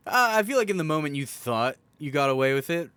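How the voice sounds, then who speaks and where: A man's voice: fairly high pitch, sing-song, fast talker, fairly bright tone, clear, resonant tone, no room sound. A young adult man co-hosting a podcast, bantering casually and teasing as he reacts. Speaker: podcast co-host.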